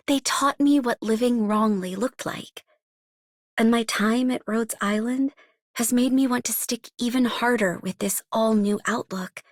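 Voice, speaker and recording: Hopeful young woman explorer for a game, slightly high, dry close sound. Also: no echo. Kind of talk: scripted game character voice line.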